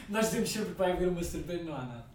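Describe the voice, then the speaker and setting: Slightly off the microphone in an echoey room, a young man co-hosts a podcast with a European Portuguese accent. He speaks fast, in loose, slangy banter that rambles along. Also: on the high side, darkish tone. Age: young adult. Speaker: podcast co-host. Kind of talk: casual banter with a friend. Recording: echoey room, distant mic, faint noise.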